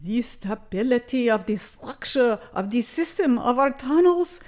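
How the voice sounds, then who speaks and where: A woman's voice: fairly high pitch, lively intonation, a little slow, darkish tone. A woman voicing an alarmed, bossy tunnel-dwelling creature with urgent, pompous speech in a comic audio drama.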